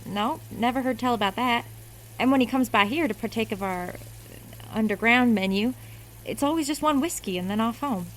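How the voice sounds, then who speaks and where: A brisk, folksy diner cook, played by a woman in a radio play. Her voice is high and chatty, and she scolds with a down-home manner. Faint noise sits behind her. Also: close to an everyday voice, poor audio. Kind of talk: radio drama acting.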